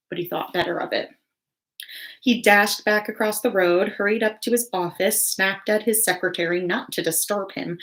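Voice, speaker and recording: A woman reading a story aloud like a teacher, in expressive narration. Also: on the low side.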